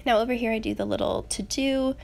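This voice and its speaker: A young woman, slightly raspy as she gets over a cold, chattily narrating a craft video in a casual, self-deprecating way. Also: fairly high pitch, a little fast, a little mellow. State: getting over a rough cold. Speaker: planner channel host.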